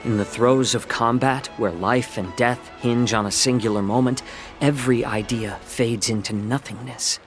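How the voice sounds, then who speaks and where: A man playing a sly, erudite healer in a video game, speaking in a measured, didactic and theatrical way. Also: medium pitch, fairly even intonation, soft background music, lightly acted. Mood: ominous, calm. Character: sly, erudite male healer, fond of food and poison. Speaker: healer and advisor. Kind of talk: scripted video game acting.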